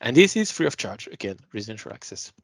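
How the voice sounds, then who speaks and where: A man's voice: fairly low pitch, very animated, quick pace, gravelly, breathy edge, no room sound. A man giving an explanatory product webinar as a sales presenter, talking informally and stressing his key words.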